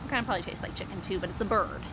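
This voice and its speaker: A woman's voice: mid-range voice, very fast, noisy background. A woman hosting a Bible story time for young children, explaining simply and expressively with questions and asides.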